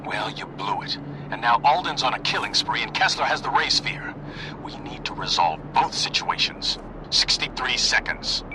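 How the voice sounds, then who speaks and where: A man playing a stern, businesslike handler in a video game, briskly giving clipped orders. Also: mid-range voice, animated, noisy background, lightly acted. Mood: stern, urgent.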